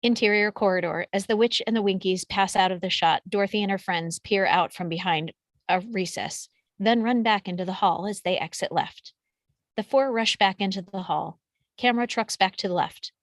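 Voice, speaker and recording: A woman's voice: medium pitch, normal speed, no room sound. A woman reading out screenplay action descriptions as scripted narration. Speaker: stage directions reader.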